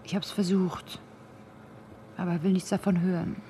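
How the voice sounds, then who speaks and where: A woman's voice: fairly low pitch, flat delivery, normal speed, warm tone, a little hiss or hum, close to an everyday voice. An actress in a German radio drama playing a pensive, slightly nervous young woman with a subdued, intimate way of talking. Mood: pensive, uneasy.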